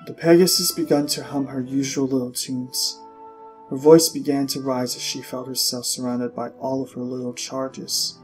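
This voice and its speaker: A man reading scripted story narration in a measured, expressive way with deliberate pauses. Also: medium pitch. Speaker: audiobook narrator. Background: music.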